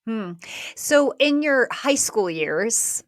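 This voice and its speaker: A woman's voice: a little high, sing-song, takes it slowly, dry recording. Upbeat woman host, dry mic. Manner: encouraging, asks long questions with hedges. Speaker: podcast host. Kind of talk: friendly interview questions.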